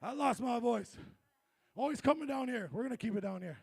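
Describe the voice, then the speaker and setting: A man performing on stage at a live community event, hoarse from losing his voice, calling out to the crowd on a handheld mic between songs. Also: very high-pitched, fairly quick. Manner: energetic, calls to the crowd. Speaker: performer hosting a stage set. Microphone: handheld microphone.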